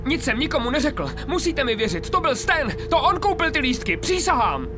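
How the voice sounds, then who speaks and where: A terrified informer in a gangster game. The voice is strained very high and swings widely, begging and whining. Music runs behind it. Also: male voice, big pitch swings. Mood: terrified pleading. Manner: pleading, whiny. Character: frightened snitch pleading with gangsters. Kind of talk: dubbed game cutscene acting.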